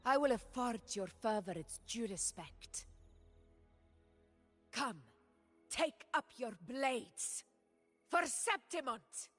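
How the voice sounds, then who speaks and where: A proud warrior queen for a game, husky voice, formal n commanding. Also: fairly high pitch, sing-song, takes it slowly, long pauses, a bit bright, faint music behind, full character voice. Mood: proud, rousing. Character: resolute female warrior sovereign, commanding and dignified. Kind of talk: scripted video game acting.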